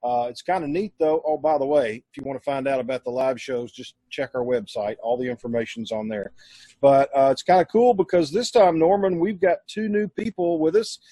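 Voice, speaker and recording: Husky-voiced man hosting, close dry sound. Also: medium pitch, brisk, mellow, no room sound. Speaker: host. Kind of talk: casual show introduction. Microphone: video call.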